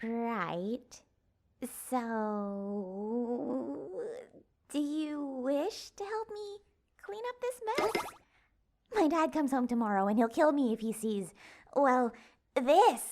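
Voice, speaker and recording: A woman's voice: fairly high pitch, slow pace, long pauses, darkish tone. An actress playing a feisty, awkward young girl with a casual, dryly sarcastic manner in an audio drama. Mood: wry, unsure.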